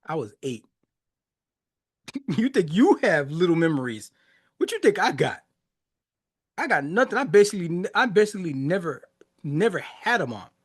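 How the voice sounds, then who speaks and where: A man's voice: a little high, slightly rough. A man in his forties, born in Haiti and raised in the United States, talking casually and reflectively as a podcast host, laughing often. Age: 40s. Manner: laughs often, loose and conversational, many restarts and fillers. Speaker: music reaction podcast host.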